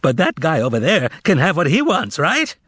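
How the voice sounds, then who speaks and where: A man's voice: very high-pitched, very animated, measured pace, a bit bright, no room sound, pitched above the performer's own voice, exaggerated voice. A man voicing a sly, theatrical male con man with a thin, tremulous, quavering voice, sarcastic and wailing. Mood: mock-indignant. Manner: sarcastic, wailing, mock-indignant. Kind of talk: audiobook character voice.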